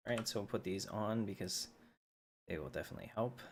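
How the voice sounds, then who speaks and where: Low-voiced man casually livestreaming a game. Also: brisk. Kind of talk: casual chat over gameplay. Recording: clearly noisy livestream recording.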